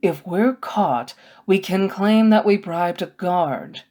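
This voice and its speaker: An earnest, protective male scholar from a storybook , acted out by a woman reader. He admonishes slowly and with care. His worry shows in a stern, pleading manner. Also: on the low side, expressive, dark tone. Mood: urgent, admonishing.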